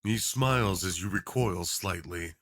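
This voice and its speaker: A man's voice: deep voice, measured pace, fairly bright tone, no echo, clean studio sound. A man delivering scripted narration and channel talk as the creator of an audio roleplay.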